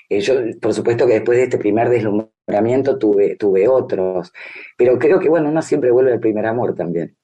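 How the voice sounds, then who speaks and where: Woman in her 50s, rioplatense accent, soft dark husky voice, thinking out loud about books in an interview. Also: rumbling low. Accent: Rioplatense Spanish. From grew up in Brazil. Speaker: interviewed novelist. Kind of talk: literary interview, reflective conversation.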